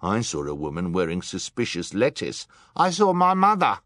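A man voicing agitated, suspicious hotel guests who speak in loud, accusing outbursts in an audiobook. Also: lowish voice, huge pitch swings, normal speed, no echo, clean studio sound.

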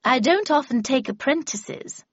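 A woman voicing a stern, sharp-tongued, commanding older woman in an audiobook, brusque and brooking no argument. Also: lively intonation, a little slow, breathy edge, no echo, highs cut off, full character voice. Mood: brusque, scolding. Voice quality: low growl at times. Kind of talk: audiobook character voice.

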